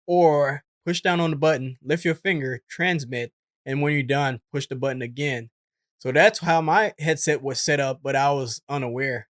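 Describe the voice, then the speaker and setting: A man's voice: fairly high pitch, fairly bright tone, no room sound, clean studio sound. A man giving casual, conversational explanatory commentary as a host, in an informal manner. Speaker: host, former military air traffic controller.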